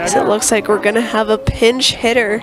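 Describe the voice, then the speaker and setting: Woman sports announcer, slightly high voice, getting over a cold, live play-by-play, loud crowd behind. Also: fairly quick. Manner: steady play-by-play with read-in sponsor spots. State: recovering from a cold.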